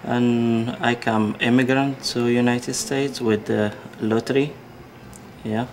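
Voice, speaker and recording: A man from Morocco speaks very slowly and hesitantly, searching for his words in a casual interview. Faint ambience sits behind him. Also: medium pitch, level tone, slight background noise.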